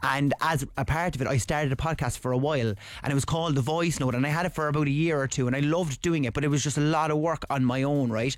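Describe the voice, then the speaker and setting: A man's voice: on the high side, fairly even intonation, quick pace, hardly any pauses, no room sound, clean studio sound. A man with a Cork Irish accent, a radio newsreader chatting as a guest in a loose, rambling way with many fillers and restarts. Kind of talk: casual radio chat.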